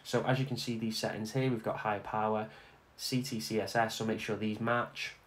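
Low-voiced man giving a casual software tutorial. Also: little pitch movement, moderate pace. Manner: informal, repetitive step-by-step instructing. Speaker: tutorial host. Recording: clear background noise.

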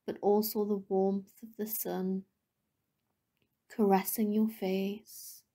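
Female meditation guide: mellow, gentle, breathy at phrase ends, level intonation, long unhurried pauses. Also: mid-range voice.

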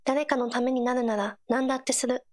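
A woman voicing an earnest, supportive teenage girl companion in a game, gentle and encouraging in short scripted lines. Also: high voice, fairly even intonation, a little fast, close to an everyday voice. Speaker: game character voice actor.

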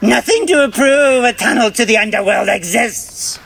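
High-pitched, obsessed German Nazi zoologist. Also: male voice, moderate pace, vocal fry, fairly bright tone, breathy edge, full character voice. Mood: frustrated. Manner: grandiose, exclamatory. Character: obsessed, arrogant Nazi zoologist leading an expedition. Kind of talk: radio drama acting.

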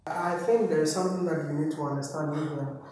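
A man's voice: a little high, brisk, slight background noise. A man in a group discussion, telling stories animatedly and asking the others questions. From Ghana. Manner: animated storyteller, quizzing others. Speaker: discussion guest. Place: living room, indoors. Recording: echoey room, far from mic.

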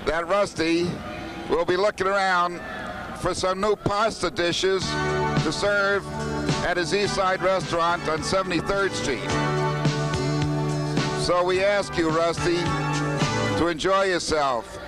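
Guy with a high voice doing a slow gift speech at a ballpark, music playing. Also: fairly even intonation. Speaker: ceremony speaker presenting a gift. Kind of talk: ceremonial speech. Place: outdoor baseball stadium.

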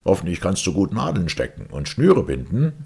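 A man's voice: low-pitched, huge pitch swings, normal speed, creaky, darkish tone, husky, voice pushed up, full character voice. A man voicing a pompous, blustering, childish storybook twin brother, scornful and self-important.

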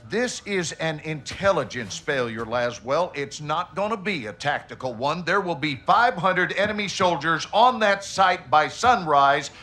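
A curt, commanding American general. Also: male voice, a little high, animated, unhurried, hardly any pauses, sharp tone, a little hiss or hum. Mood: angry, forceful. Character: commanding, profane American army general, impatient and forceful. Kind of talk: dramatic game cutscene acting.

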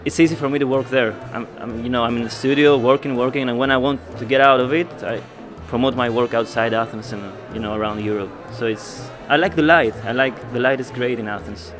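A man's voice: medium pitch, steady tone, fast, few pauses, music in the background. A young man from Greece with a Greek accent, speaking in hesitant phrases as an artist being interviewed. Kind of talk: on-camera interview. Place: indoor art fair booth. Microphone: handheld interview mic held out by interviewer.

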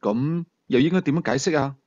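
A man's voice: fairly high pitch, fairly quick, a little mellow, dry recording, muffled recording, close to an everyday voice. A man with a Hong Kong Cantonese accent voicing a stern, stubborn police commander in an audiobook, earnest and argumentative. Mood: serious, challenging. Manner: earnest, insistent.

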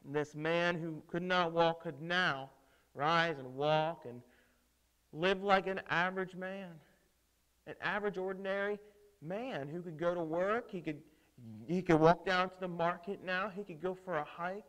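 Male children's preacher, high voice, theatrical storytelling, punchy emphasis and dramatic pauses. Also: fairly bright tone, low-quality recording. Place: indoors at a lectern on a stage set.

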